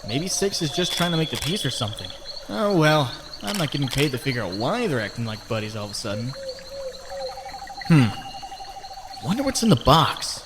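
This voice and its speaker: A man's voice: mid-range voice, big pitch swings, normal speed, long pauses. A man playing a young wisecracking superhero photographer in a comic book dub, sarcastic and exclamatory. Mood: sarcastic, musing. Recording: clearly noisy background.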